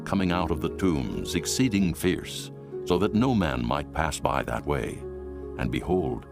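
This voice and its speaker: A man's voice: very deep voice, lively intonation, unhurried, gravelly, over music. A man narrating a dramatized Bible in a solemn, dramatic storytelling manner.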